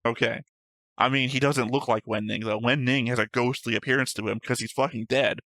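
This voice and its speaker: A man with a bright, forward voice chats casually while reading through notes, stopping often to correct himself and swearing now and then. He is recorded dry and close on the mic, with no room sound. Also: mid-range voice, fairly even intonation, a little fast, no echo. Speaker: reaction show host reading notes.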